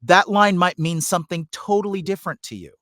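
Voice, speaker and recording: A man's voice: high voice, brisk, no room sound, studio quality. A man talking as a voice actor and coach in an interview, telling stories conversationally and animatedly. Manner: animated, acts out examples as he explains.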